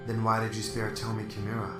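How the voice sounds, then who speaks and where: A man's voice: lowish voice, completely flat delivery, fast talker, lightly acted. A man playing a restrained, by-the-book police detective in a radio drama, speaking firmly and with control. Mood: grave. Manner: firm, controlled, reproachful. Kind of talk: amateur radio drama acting. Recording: roomy, slightly distant, music underneath.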